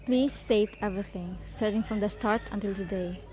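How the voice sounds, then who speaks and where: A woman's voice: medium pitch, normal speed, mellow, soft background music, close to an everyday voice. A woman playing a stern, businesslike investigator in a radio drama, formal and insistent. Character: stern businesslike female investigator questioning students.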